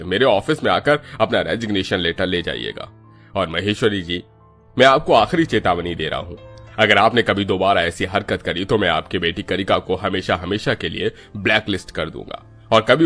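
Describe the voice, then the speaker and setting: A man's voice: lowish voice, a bit bright. A man voicing a stern but fair school principal, authoritative and emphatic, in a cartoon moral story.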